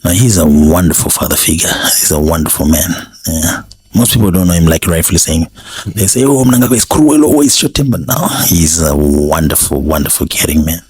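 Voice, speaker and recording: A man's voice: rumbling low, fairly quick, a little mellow, slightly rough. A man with a Zimbabwean accent giving effusive, repetitive answers in a casual interview, with faint noise behind him. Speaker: interview guest.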